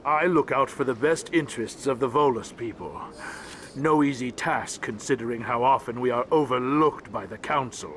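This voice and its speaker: A man's voice: medium pitch, normal speed, fairly bright tone, slight background noise, natural voice. A man voicing a grumpy, resentful alien ambassador in a video game, pompous and dismissive. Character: grumpy, resentful male alien ambassador of a small merchant species. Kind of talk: video game character dialogue. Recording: music behind the voice.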